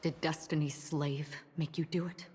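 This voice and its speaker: Game swordswoman played by a woman: low, solemn, composed and mysterious, restrained delivery in long reverb. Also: flat delivery, normal speed, a little mellow, natural voice. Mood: probing, composed. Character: calm, enigmatic swordswoman, somber and resolute. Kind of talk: dramatic game cutscene acting. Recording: long reverb, faint noise.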